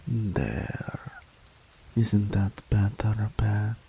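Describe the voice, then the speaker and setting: A possessive male incubus demon, played by a man, speaking in a low, gravelly voice. He draws out every word at a languid crawl and often sinks into a breathy whisper. It plays as a doting, dominant demon in an audio roleplay. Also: dark tone, slight background noise, full character voice. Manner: slow, purring, frequently dropping to a whisper.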